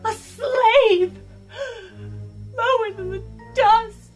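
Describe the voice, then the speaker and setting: Casting: vain, self-pitying fairy-tale diva, extremely high voice, drawn-out melodramatic delivery, comic stage style, music behind. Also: female voice, heavily acted. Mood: wailing self-pity. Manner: overwrought wailing with sudden snide asides.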